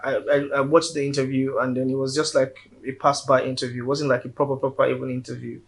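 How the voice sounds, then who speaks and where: A man's voice: medium pitch, little pitch movement, fast talker, gravelly, a little hiss or hum. A man with a Nigerian accent, a guest in a casual interview, speaking earnestly and often repeating words as he builds his point.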